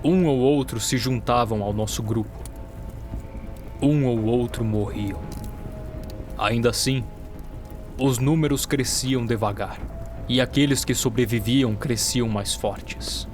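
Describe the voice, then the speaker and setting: A man's voice: medium pitch, takes it slowly, long pauses. A man with a Brazilian accent giving dramatic first-person narration for an audio drama. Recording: music bed under the voice. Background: music.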